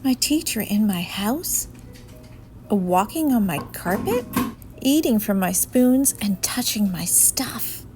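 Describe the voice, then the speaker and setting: A woman voicing an anxious, excitable young schoolboy from a storybook, exclaiming in a flustered, dramatic way. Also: mid-range voice, slow pace, music in the background. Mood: dismayed.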